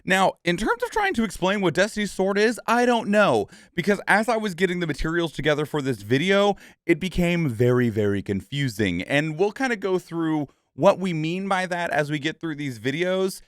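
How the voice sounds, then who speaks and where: A man gives casual reaction commentary in a fairly high, very animated voice. He rambles and stammers as he thinks aloud, and his voice comes through clean and smooth in a dry recording. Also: normal speed, few pauses, no room sound. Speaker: reaction channel host.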